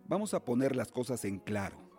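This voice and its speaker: Man acting cautious middle-aged guardian uncle, formal radio drama, soft dark tone. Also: mid-range voice, sing-song, soft background music, close to an everyday voice. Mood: cautioning. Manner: measured, formal, paternal. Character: stern, cautious guardian uncle of colonial Mexico.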